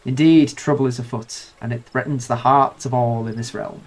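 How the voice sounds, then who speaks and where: A man's voice: medium pitch, fairly even intonation, moderate pace, natural voice, low-quality recording. A man with a nasal cold voicing a grand, romantic noblewoman in a game, reading her lines in flowery, theatrical speech. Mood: grandiose.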